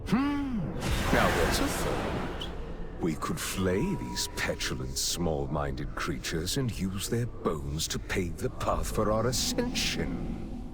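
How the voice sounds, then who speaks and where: Preening vampire overlord, hugely animated intonation, slow savoring delivery, sardonic game villain, music behind. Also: male voice, sits low, heavily acted. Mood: sardonic, gloating. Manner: pompous, preening, silkily sardonic. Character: grandiose, mocking, theatrical male vampire lord and villain. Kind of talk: video game villain acting.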